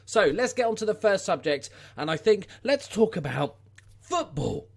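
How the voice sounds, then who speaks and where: A man's voice: high voice, big pitch swings, normal speed, creaky. A man with a Scouse accent hosting a casual, unscripted vlog in an informal, wry way. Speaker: YouTube channel host.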